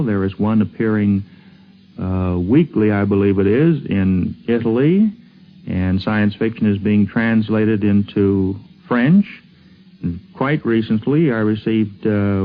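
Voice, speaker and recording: Low American man on thin old radio. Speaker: science fiction fan being interviewed. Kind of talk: interview recollection. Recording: thin phone-like 1950s radio recording.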